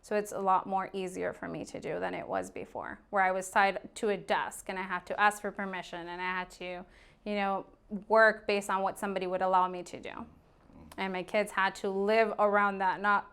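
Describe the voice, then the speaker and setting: A woman's voice: medium pitch, little pitch movement, fairly quick, vocal fry, a bit bright, clean tone. A woman in her thirties from Arizona, a real estate agent talking openly and chattily as a podcast guest. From Arizona, USA. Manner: open, chatty, laughs easily. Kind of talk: casual podcast interview.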